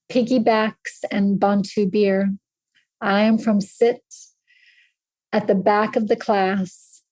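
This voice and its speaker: A woman in her fifties from southern Africa, reading her own poem aloud in a measured, even recitation as a podcast guest. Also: fairly high pitch, no echo, poor audio. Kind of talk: slow poetry reading.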